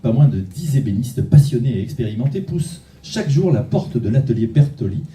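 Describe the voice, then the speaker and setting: A man working the stage as host of an awards ceremony, with a deep, dark and mellow voice. He talks loosely and jokingly, often starting a phrase again. The sound is roomy and distant, with faint music behind him. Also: expressive, a little fast. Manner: chatty, loose and jokey, with frequent restarts. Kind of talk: live stage hosting. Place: large event hall. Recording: echoey hall, mic at a distance, faint music.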